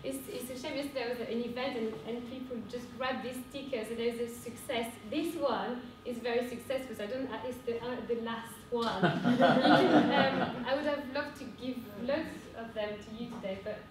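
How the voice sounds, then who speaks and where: A young woman with a French accent giving an earnest conference talk, pausing often with fillers. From France. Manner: earnest, hesitant with frequent fillers. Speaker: cooperative speaker. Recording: echoey hall from far off with rumble.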